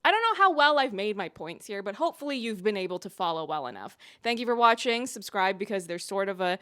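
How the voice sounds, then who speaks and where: A woman's voice: mid-range voice, sing-song, fast, a bit bright, no echo. A woman giving opinionated video essay commentary as a reviewer, with emphatic delivery and wry, exasperated asides.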